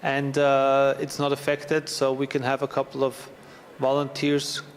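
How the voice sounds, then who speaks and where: A man's voice: mid-range voice, monotone, unhurried, slight background noise. A man giving upbeat, joking live commentary at a fitness competition. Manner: upbeat, joking, chatty with restarts. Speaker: event commentator. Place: large event hall. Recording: long reverb in a big hall, faint ambience.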